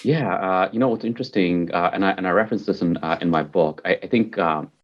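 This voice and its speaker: A man's voice: deep voice, fast talker, highs cut off. A man, answering interview questions in an upbeat, earnest way and repeating words for emphasis. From Mumbai, India. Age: 40s. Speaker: podcast guest, technology executive.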